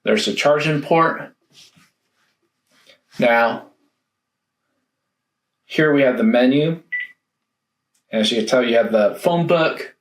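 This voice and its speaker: A man giving a casual, hands-on product review, thinking aloud in a halting way. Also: medium pitch. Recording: roomy echo, faint background noise.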